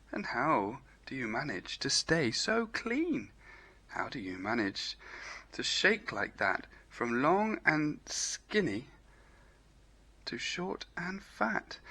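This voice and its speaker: Storybook rabbit, male, played by a man: dreamy, earnest poet, bright tone, lilting measured verse. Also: on the high side, voice pushed up, exaggerated voice. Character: earnest, dreamy male rabbit who recites rhyming poems.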